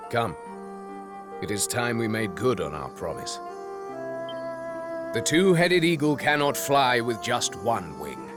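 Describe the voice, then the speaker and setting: An actor playing a brooding fallen knight in a video game, bitter yet longing for his old friend, theatrical and measured, dropping into murmurs. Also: medium pitch, very animated. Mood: yearning, resolute. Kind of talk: dramatic video game voice acting. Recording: music behind the voice.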